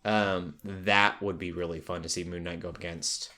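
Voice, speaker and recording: A man's voice: sits low, flat delivery, moderate pace, bright, forceful tone. A man hosting a podcast, chatting casually and conversationally about what he has watched. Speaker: podcast host. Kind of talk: casual chat about shows and films.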